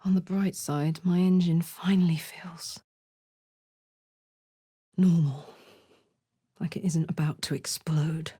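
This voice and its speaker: A woman's voice: fairly low pitch, steady tone, slow, long pauses, dark tone, husky, no echo, studio quality, full character voice. A woman playing a brash, battle-hungry warrior with a big heart in a video game, speaking informally and emphatically. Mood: breezy, teasing. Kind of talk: video game character voice lines.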